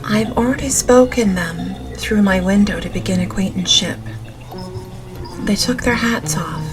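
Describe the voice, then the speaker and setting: A woman reading a gothic novel as an audiobook in a gentle, measured manner. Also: mid-range voice. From Canada. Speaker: audiobook narrator. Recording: music behind the voice. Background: music.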